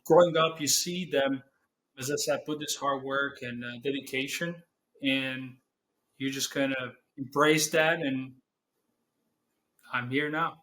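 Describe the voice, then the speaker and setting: A young man from Serbia with a Serbian accent, answering interview questions in English as a non-native speaker, pausing to search for words. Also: medium pitch, fairly even intonation, fairly bright tone, slight background noise, low-quality recording. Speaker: guest, business owner.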